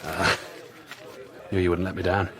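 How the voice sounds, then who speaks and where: A man's voice: low-pitched, level tone, moderate pace, crowd noise, lightly acted. A man playing a proud, rakish young English army officer in a period radio drama, with a haughty, blustering manner. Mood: breezy, sheepish. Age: young adult.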